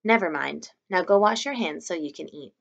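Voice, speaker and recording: A woman's voice: fairly low pitch, animated, moderate pace, creaky. A woman voicing a schoolboy's mother in a storybook, reasoning and placating, with a touch of impatience. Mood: mildly impatient. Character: patient but slightly impatient mother of a schoolboy.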